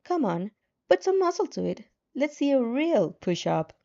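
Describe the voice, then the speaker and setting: A woman's voice: high-pitched, sing-song, moderate pace, creaky, mellow, lo-fi sound, pitched above the performer's own voice, heavily acted. A woman voicing a bossy, pushy system guide in a story, commanding and prodding. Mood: taunting.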